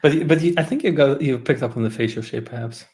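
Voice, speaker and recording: A man from Russia chatting on a video call, relaxed and hesitant, often trailing off. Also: mid-range voice, quick pace. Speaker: video chat stranger. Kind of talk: casual video chat.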